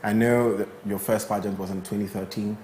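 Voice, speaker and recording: A man's voice: on the low side, flat delivery, fairly quick, darkish tone. A man in his thirties with a Ghanaian accent, presenting and interviewing as a morning television host. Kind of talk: broadcast interview. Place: indoor studio lounge set. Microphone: lapel mic. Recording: roomy echo with some background noise.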